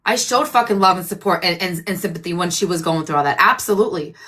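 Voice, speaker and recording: A woman's voice: fairly low pitch, expressive, fast, pushed and bright. A woman hosting her own livestream, talking bluntly and defiantly with plenty of swearing. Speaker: livestream host. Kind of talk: casual livestream chat.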